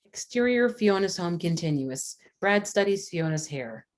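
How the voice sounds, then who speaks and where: A woman's voice: on the low side, lively intonation, unhurried. A woman reading scripted stage directions as the narrator of a table read.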